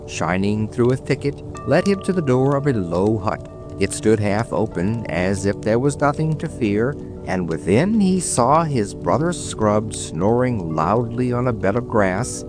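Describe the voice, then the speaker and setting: Male narrator for a bedtime tale; mid-pitched, highly expressive, dramatic read. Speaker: storyteller. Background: music.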